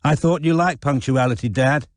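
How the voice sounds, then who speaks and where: A man's voice: mid-range voice, moderate pace, no echo, studio quality, voice pushed up, lightly acted. A male narrator voicing an easygoing young man with an English public-school accent. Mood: wry.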